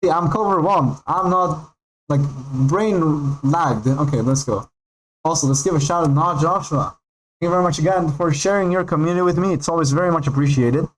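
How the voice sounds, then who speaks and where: A man from Peru whose first language is Spanish, chatting casually and excitably while streaming a game. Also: a little high, low-quality recording. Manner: rambling, excitable, reads out names with pauses. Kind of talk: casual livestream chat while gaming. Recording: faint background music.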